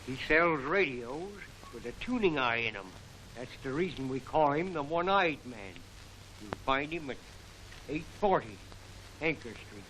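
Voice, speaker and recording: An actor playing a cagey, mercenary street informant with colloquial talk in a 1940s film serial. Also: male voice, medium pitch, expressive, a little slow, a bit bright. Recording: noisy 1940 film serial soundtrack.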